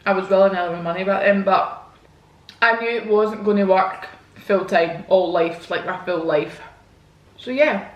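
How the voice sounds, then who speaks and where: A woman's voice: mid-range voice, measured pace, reverberant, lo-fi sound. A woman, chatting frankly and casually to her viewers while answering their questions. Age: 20s. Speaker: vlogger. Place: home, indoors. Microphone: camera mic.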